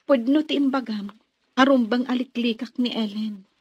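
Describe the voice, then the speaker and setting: High-voiced, devoted young sweetheart. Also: female voice, normal speed, darkish tone, breathy edge, no room sound, lo-fi sound. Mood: affectionate. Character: devoted young sweetheart, a hurt but trusting daughter. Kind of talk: Ilocano radio drama acting.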